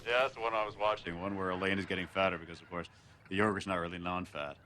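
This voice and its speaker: An actor playing a folksy, self-important, flag-waving American TV host, rambling and sanctimonious in a film drama. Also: male voice, low voice, fairly even intonation, fast, background noise, natural voice. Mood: chatty, rambling.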